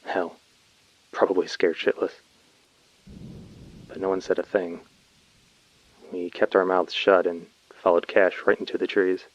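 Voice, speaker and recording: A man's voice: sits low, level tone, measured pace, long pauses, highs cut off. A man playing a weary, uneasy colonist recording a subdued, brooding field message in a sci-fi audio drama. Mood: uneasy, resentful.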